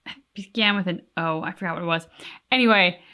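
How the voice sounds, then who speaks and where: A woman's voice: fairly low pitch, fast, bright, forceful tone, clear, resonant tone. A woman co-hosting a reaction video who talks casually and halts as she searches for words.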